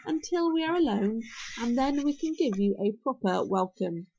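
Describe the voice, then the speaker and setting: A woman voicing a sly, menacing leopard-sized hunting dragon in a storybook, slowly purring and hissing. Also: fairly high pitch, animated, warm tone, highs cut off.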